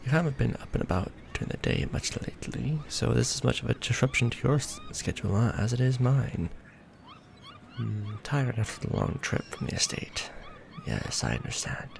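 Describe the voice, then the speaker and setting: A man playing a centuries-old vampire lord in a romantic ASMR roleplay, proud and doting, speaking formally with theatrical, measured phrasing. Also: mid-range voice, huge pitch swings, creaky, slight background noise, heavily acted. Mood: tender, courteous.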